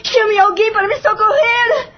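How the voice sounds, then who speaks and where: Distraught, crying wife in an audio drama, voiced by an actress; very high voice, muffled recording. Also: female voice, normal speed. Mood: anguished. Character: tormented wife in spiritual anguish. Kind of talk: radio drama acting. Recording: dull lo-fi audio.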